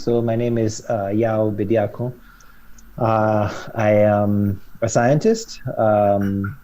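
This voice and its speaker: A man speaking on a video call panel, measured and thoughtful, with frequent pauses and fillers. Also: fairly low pitch, dark tone, slight background noise, poor audio. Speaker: panelist, scientist. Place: home room with bookshelves.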